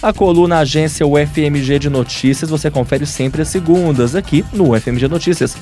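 A man reading a radio program announcement in a smooth, formal way. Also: a little high, brisk, warm tone. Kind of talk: scripted announcement. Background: music bed.

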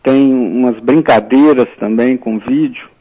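A male writer speaks as a guest on a radio show, his voice at an ordinary pitch for a man. He talks thoughtfully and hesitantly, with lots of fillers and restarts. The recording sounds dull and lo-fi, like an old radio broadcast. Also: unhurried, breathy edge. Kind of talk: radio interview.

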